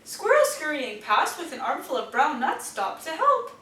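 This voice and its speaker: High-pitched woman reading children's stories, echoey. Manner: expressive, deliberate storytelling for young children. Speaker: storybook reader. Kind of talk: children's read-aloud narration. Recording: roomy, distant mic, faint noise.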